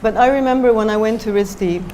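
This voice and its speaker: A woman's voice: fairly high pitch, fairly quick, warm tone, slight background noise. A middle-aged woman from Japan, a designer talking as a guest in an onstage interview, thoughtful and hesitant with many fillers.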